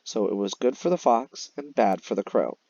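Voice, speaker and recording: A male teacher explains a lesson to young children in a lively, varied voice. He speaks instructively, as if guiding a class through a read-aloud. The recording is dry and somewhat dull. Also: lowish voice, moderate pace, gravelly, breathy edge, no room sound. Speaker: elementary teacher. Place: voice-over on a screen-recorded slide lesson.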